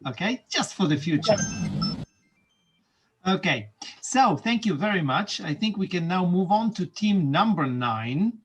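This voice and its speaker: A man moderating an online school video meeting, relaxed and chatty, with many fillers and small laughs. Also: sits high, a little slow, slightly rough, muffled recording, faint music behind. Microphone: video call.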